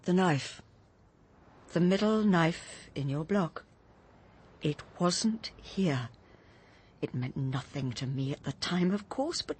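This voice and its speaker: Troubled, earnest Englishwoman, radio drama. Very breathy, hesitant delivery with a lively, shifting pitch. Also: exaggerated voice. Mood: anxious, accusing. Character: troubled, earnest woman gently confronting her friend. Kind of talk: British radio drama acting.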